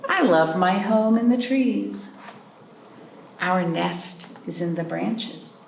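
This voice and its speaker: A woman hosting a library storytime, reading picture books and chatting to young children in an animated way with simple questions. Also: mid-range voice, slow, gravelly. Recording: roomy, distant mic with background noise.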